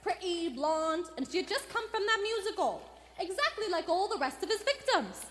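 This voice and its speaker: Very shrill, fretful Victorian landlady. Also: big pitch swings, normal speed, fairly bright tone, heavily acted. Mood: anxious, fascinated. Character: fretful, gossipy Victorian London landlady and wife. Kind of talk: 1940s radio acting. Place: theater stage before live audience. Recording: echoey theater hall.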